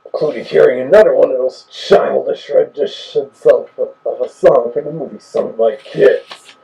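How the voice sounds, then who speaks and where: A man's voice: mid-range voice, normal speed, creaky, mellow, dull audio. A man giving casual, offhand critical commentary as he reviews a recording. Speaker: reviewer and host.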